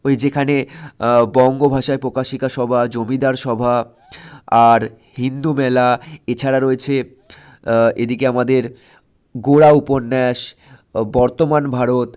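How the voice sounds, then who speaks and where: A man's voice: medium pitch, little pitch movement, unhurried, pauses often, a little mellow, clean tone. A man with an Indian Bengali accent from West Bengal teaching an exam-prep lesson, repeating his points and often checking that students follow. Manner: instructive, repeats points and keeps checking understanding with short questions. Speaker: exam-prep history teacher on an online channel. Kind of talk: informal lesson, explaining exam strategy step by step.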